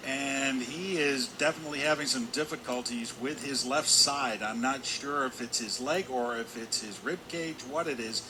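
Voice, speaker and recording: A man's voice: mid-range voice, normal speed, few pauses. Male color commentator over rink noise. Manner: wordy, repeats himself for emphasis. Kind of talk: live sports analysis, conversational. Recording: constant loud arena background noise. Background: hockey arena ambience.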